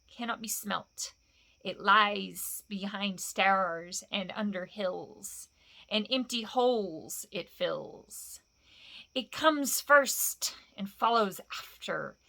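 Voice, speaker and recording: A woman's voice: mid-range voice, creaky, pushed and bright, heavily acted. A woman voicing a wretched, sneaky old cave creature who hisses and whispers in broken grammar. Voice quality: hissing, whispery. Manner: broken grammar, repeats "my precious".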